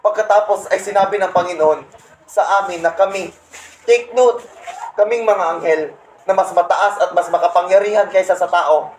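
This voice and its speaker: A man's voice: sits high, normal speed, natural voice. A young man playing a boastful, resentful Satan, the fallen angel, in a comedic radio play, pompous and scornful. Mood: boastful, aggrieved. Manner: pompous, scornful, punctuated with cackling laughs. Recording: roomy echo, faint background noise.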